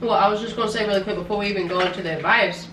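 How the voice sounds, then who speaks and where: A woman's voice: mid-range voice, fairly even intonation, rapid-fire. Frank young woman in an echoey, noisy room. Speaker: co-host and musician. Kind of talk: casual chat. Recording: distant, echoey room with noise.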